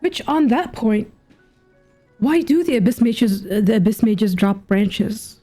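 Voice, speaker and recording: A woman with a fairly high, mellow voice gives casual game commentary. She muses aloud and questions things like a streamer, with soft music faintly behind her. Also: animated, a little slow.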